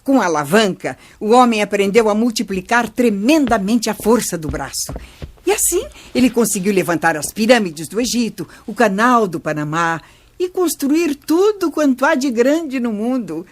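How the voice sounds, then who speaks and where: A woman's voice: a little high, normal speed. A woman playing a patient, wise old grandmother who explains things to children in a radio play. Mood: enthusiastic, instructive. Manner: didactic, expressive storytelling. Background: music.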